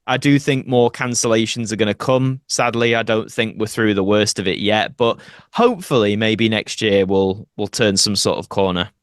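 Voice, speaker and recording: A man's voice: on the low side, normal speed, smooth, no room sound, studio quality. A man speaking as a podcast guest in casual interview conversation, earnest and reasoned.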